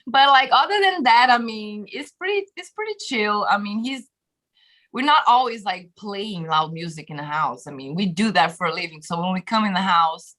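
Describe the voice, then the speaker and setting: A woman with a Brazilian accent talks through a video call from a room at home. Her voice is bright and forward, her speech loose and chatty, full of fillers and easy laughter. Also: mid-range voice, normal speed. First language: Portuguese. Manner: animated, laughs often, many fillers. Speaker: guest musician. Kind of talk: casual interview chat. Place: bedroom home studio, indoors. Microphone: webcam video call.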